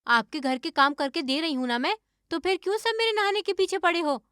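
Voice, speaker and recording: A woman playing an evasive, stubborn young daughter-in-law who acts innocent in an animated moral story. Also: extremely high pitch, a little fast, no room sound, studio quality. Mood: defiant, annoyed. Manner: defensive, feigning innocence.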